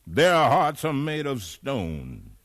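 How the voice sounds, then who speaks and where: An actor in a 1950s radio drama playing a gentle, devout slave who speaks earnestly and piously. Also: male voice, medium pitch, wildly swooping pitch, slow, no echo, studio quality. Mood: firm, grave. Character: gentle, devout, peace-loving slave man of the gospel.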